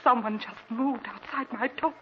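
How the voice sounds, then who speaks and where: A woman's voice: fairly high pitch, moderate pace, natural voice. An actress playing a frightened wife who fears for her life, in breathless 1940s radio drama style. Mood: terrified. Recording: muffled noisy old radio recording.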